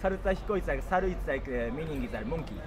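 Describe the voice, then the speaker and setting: A man's voice: fairly high pitch, racing. A male street interviewer, chatting in simple English and often exclaiming in response. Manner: excitable, frequent exclamations and backchannels. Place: outdoors on a city street at night. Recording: busy city street chatter and traffic.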